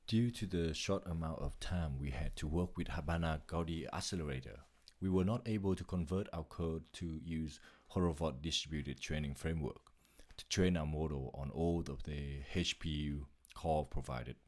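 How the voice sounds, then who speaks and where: Very deep-voiced man presenting. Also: moderate pace, darkish tone. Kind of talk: scripted slide narration.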